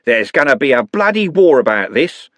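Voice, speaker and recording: A man doing a slow, menacing gang leader. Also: medium pitch, big pitch swings, a bit bright, no echo, voice pushed up. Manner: slow, threatening.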